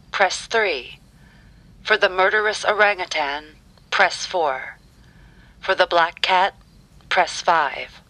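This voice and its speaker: Casting: woman as automated telephone menu voice, neutral, crisp-edged and unhurried, with option-by-option delivery. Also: fairly low pitch, sharp tone, full character voice, low-quality recording. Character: automated phone menu operator, neutral and measured. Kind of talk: recorded phone menu announcement.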